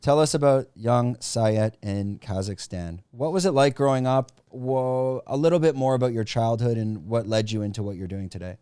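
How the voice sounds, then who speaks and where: A man hosting a podcast, asking questions in a relaxed, loose way with fillers. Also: mid-range voice, moderate pace, few pauses, clean tone, no room sound, clean studio sound. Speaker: podcast host. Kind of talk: casual interview.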